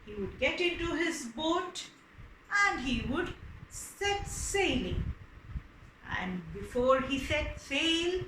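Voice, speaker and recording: A woman telling stories for children, explaining as she goes, expressive and repeating words for emphasis. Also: sits high, takes it slowly. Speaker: storyteller. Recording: roomy echo with faint background noise.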